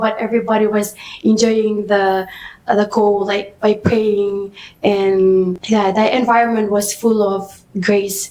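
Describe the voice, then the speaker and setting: A woman's voice: medium pitch, a little mellow, rough recording. A woman in her twenties from Fiji, a youth missionary sharing a heartfelt testimony in a hesitant way. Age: 20s.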